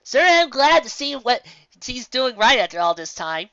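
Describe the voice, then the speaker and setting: A woman's voice: fairly high pitch, very animated, moderate pace, fairly bright tone, no echo, voice pushed up. Lady doing a chatty old coot of a country woman, folksy storybook character, dry muffled sound. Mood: warm, rambling. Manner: folksy, rambling, drawling country talk.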